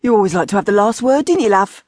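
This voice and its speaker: A woman's voice: medium pitch, lively intonation, fast, darkish tone, no echo, lightly acted. Woman doing a grumpy, mocking old husband. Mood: gloating. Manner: dry, teasing, mocking.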